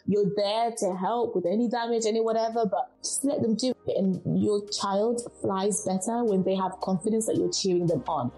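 A woman's voice: medium pitch, moderate pace, few pauses, dark tone, soft background music, low-quality recording. A young woman from Zimbabwe, answering interview questions with earnest, encouraging advice. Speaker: guest speaker.

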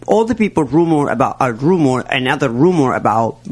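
A man's voice: mid-range voice, animated, a little fast, husky. A man with a sassy, campy manner and non-native English phrasing, delivering a comedic gossip rant as a show regular. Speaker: podcast regular giving a weekly rant.

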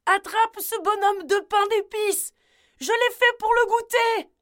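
A woman voicing an excitable, indignant old grandmother, crying out shrilly in a children's tale. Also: very high-pitched, a little slow, soft background music, pitched above the performer's own voice. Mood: indignant, urgent. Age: older.

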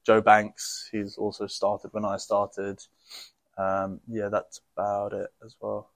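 A young man with a fairly low voice, answering interview questions in a relaxed and easygoing way. He laughs easily, and the recording is dry and close. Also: takes it slowly, vocal fry, dry recording. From grew up in many countries. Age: young adult. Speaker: rugby player guest.

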